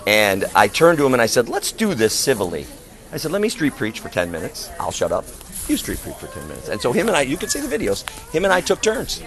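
A man's voice: mid-range voice, huge pitch swings, fast talker. A man with a big voice preaching on the street in an emphatic, declamatory manner full of rhetorical questions. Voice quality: big, loud voice. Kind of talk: impassioned street preaching and open-air discussion.

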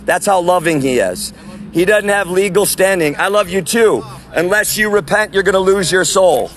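Loud high-pitched male street preacher. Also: normal speed. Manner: loud, forceful proclaiming. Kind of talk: open-air street preaching. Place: outdoor sidewalk by a street.

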